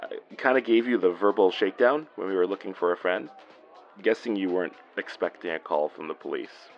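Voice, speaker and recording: A man's voice: low-pitched, a little fast, highs cut off, faint music behind. An actor playing a flirty, easygoing male police officer in a naturalistic audio drama. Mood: flirty, teasing. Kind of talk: naturalistic horror fiction podcast acting.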